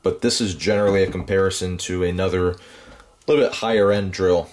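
A man's voice: low-pitched, fairly even intonation, a little fast, darkish tone. A man giving a casual, plainspoken tool review, with frequent ums and uhs. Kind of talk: casual product review voice-over.

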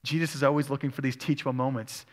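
A man's voice: mid-range voice, flat delivery, quick pace. A male pastor preaching a sermon, reading scripture aloud and asking his congregation questions. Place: church sanctuary. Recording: long church hall reverb.

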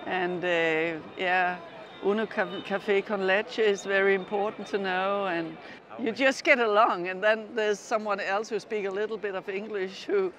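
A woman's voice: mid-range voice, fairly even intonation, normal speed, fairly bright tone, slight background noise. A woman in her fifties from Denmark, reflecting on her experience in a street interview and laughing now and then as she talks. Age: 50s. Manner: reflective, laughs while talking. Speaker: interviewed pilgrim. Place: outdoor city square. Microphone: lapel mic.

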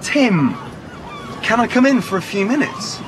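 A man's voice: extremely high pitch, very animated, unhurried, background noise, full character voice. An actor in a comic radio play, playing a stranded, persistent male fan with an earnest, eager manner. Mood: pleading, eager.

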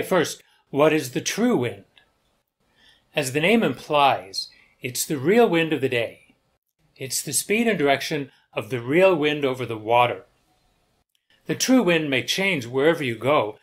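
An older man, a sailing instructor, explaining sailing concepts in calm, measured, scripted narration. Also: fairly high pitch.

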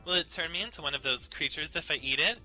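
A man's voice: fairly high pitch, lively intonation, fast, sharp tone, faint music behind, pitched above the performer's own voice, poor audio. A man voicing a proud, bloodthirsty alien warrior woman with a haughty, formal, emphatic delivery, in a visual novel reading.

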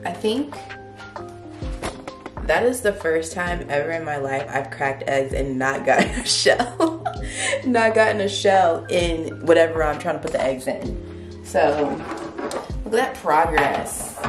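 A young woman talking casually to her camera at home, soft and reflective, a little emotional. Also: sits low, lively intonation, unhurried, pauses often, recorded from a distance. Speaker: vlogger. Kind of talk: casual vlog talk. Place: small home kitchen and living room. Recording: roomy, from the mic, music behind.

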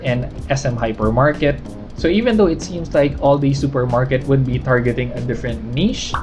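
A Filipino man in his thirties talks through a stock review in a candid, conversational way, recorded on a camera a short distance away in his living room. His voice sits in a middle pitch, and background music plays clearly beneath him. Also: a little mellow. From Philippines. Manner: candid, conversational, gestures while explaining. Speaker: finance vlogger.

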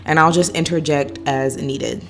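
A woman's voice: deep voice, fairly even intonation, unhurried, over music. A young woman in her twenties with a stuffy voice while recovering from a sore throat, chatting to viewers in a hair tutorial vlog from her apartment. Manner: chatty, laughing, addresses viewers directly. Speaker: beauty vlogger. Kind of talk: casual hair tutorial chat. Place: apartment living room.

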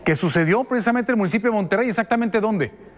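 A man's voice: sits high, quick pace, big-room sound, a little hiss or hum. A middle-aged man from Mexico, anchoring the news in a studio and commenting with indignation. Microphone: lapel mic.

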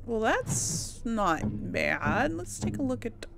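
A woman's voice: a little high, big pitch swings, slow, creaky, noisy background. A woman hosting a casual game playthrough, thinking aloud hesitantly and murmuring to herself as she goes. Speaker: gaming video host.

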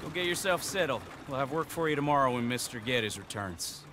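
A man playing a brisk, bossy ranch foreman in a video game, with a rural American accent and a commanding, plain-spoken way. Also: medium pitch, expressive, noisy background, natural voice. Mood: matter-of-fact. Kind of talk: video game cutscene acting.

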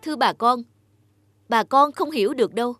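A woman voicing a poor, grateful young male scholar in an animated folk tale, polite and earnest. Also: fairly high pitch, moderate pace, lightly acted. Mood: grateful, sincere. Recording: music behind the voice.

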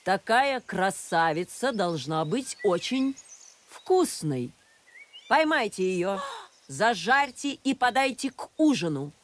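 A woman's voice: medium pitch, sing-song, takes it slowly, a little hiss or hum. Woman playing a pompous, imperious storybook king. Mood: greedy, commanding. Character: pompous, hot-tempered, greedy storybook king.

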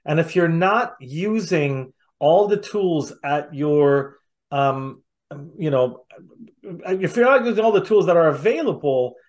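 A middle-aged man hosting a casual interview on a video call, talking haltingly and repeating words as he goes. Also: on the high side, lively intonation, darkish tone. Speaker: interviewer, host.

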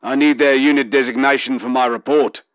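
A man voicing a brisk, formal male soldier commander in an audiobook. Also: mid-range voice, steady tone, fairly bright tone, clean tone, no room sound, highs cut off, natural voice.

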